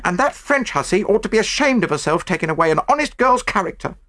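Shrill, indignant young chambermaid. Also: male voice, very animated, fast, a bit bright. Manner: working-class colloquial grammar, combative. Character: indignant young English chambermaid, angry and defiant.

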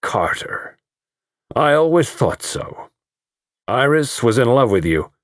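A man's voice: medium pitch, big pitch swings, takes it slowly, no echo, clean studio sound, voice pushed up. A man voicing a blustering middle-aged party host who speaks heavily and agitatedly. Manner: heavy, agitated.

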